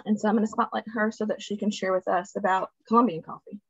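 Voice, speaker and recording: A woman hosts a class over a video call. The audio is dull and dry, with no room sound. She talks at a middle pitch and often breaks off mid-sentence to start again. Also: fairly even intonation, quick pace, fairly bright tone, breathy edge, no room sound. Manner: loose, restarting sentences with "um" fillers. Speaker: host. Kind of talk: hosting an online class.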